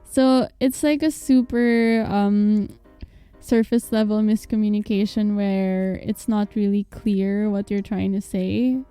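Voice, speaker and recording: A woman's voice: medium pitch, level tone, slow, mellow, clean tone, faint music behind. A young woman, a Tagalog speaker, co-hosting a casual podcast with playful, giggly banter. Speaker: podcast co-host.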